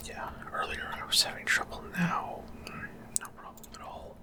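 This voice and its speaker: A man doing ASMR gameplay commentary in a rambling, hesitant way, often trailing off mid-thought. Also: moderate pace. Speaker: gaming ASMR creator.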